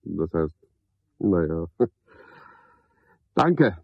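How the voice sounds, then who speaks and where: An actor playing a cynical, chatty night porter in a radio play, musing sardonically in clipped phrases. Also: male voice, low-pitched, very animated, drawn-out words, gravelly, no room sound, phone-quality sound, exaggerated voice. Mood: dry, officious. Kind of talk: 1970s German radio play monologue.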